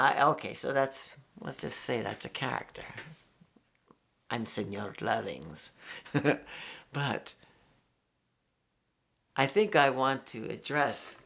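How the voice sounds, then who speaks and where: A man's voice: medium pitch, gravelly. A man in his sixties, from New York, speaks in an ordinary mid-range voice. He talks as a guitarist and channel host in a loose, reflective monologue. His delivery rambles, circles back on itself and is peppered with swearing. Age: 60s. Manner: rambling, candid, swears freely, with many fillers and restarts.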